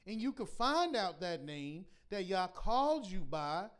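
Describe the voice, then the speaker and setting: A man teaching a scripture class in a preaching style, reading verses slowly and pressing his points with repeated rhetorical questions. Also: high voice, sing-song, smooth. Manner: emphatic, repetitive rhetorical questions; slow deliberate scripture reading.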